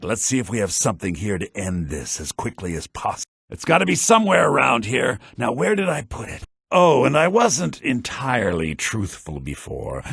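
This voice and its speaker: A cruel, sly necromancer from a fantasy video game. He coaxes and mutters smugly in a husky, creaky voice with wide swings of pitch. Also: a bit bright, no room sound, studio quality, full character voice. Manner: smug, falsely coaxing, muttering. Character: sly, cruel necromancer gleefully luring victims into a trap. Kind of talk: video game character acting.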